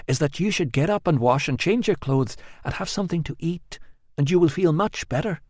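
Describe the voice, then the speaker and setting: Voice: medium pitch, expressive, fairly quick, darkish tone, dry recording, clean studio sound, pitched above the performer's own voice, close to an everyday voice. A narrator voicing a blunt, practical young woman who speaks curtly and firmly. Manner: curt, matter-of-fact, firm. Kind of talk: audiobook acting.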